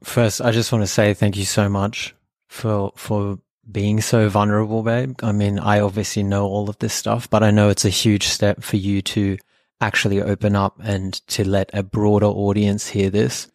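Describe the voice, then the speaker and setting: A man's voice: lowish voice, level tone, moderate pace, darkish tone, dry recording. Man, thirties, from australia, german first language, earnest and reflective, dry close sound. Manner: earnest, reflective, many fillers. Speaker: podcast co-host. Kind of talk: personal storytelling.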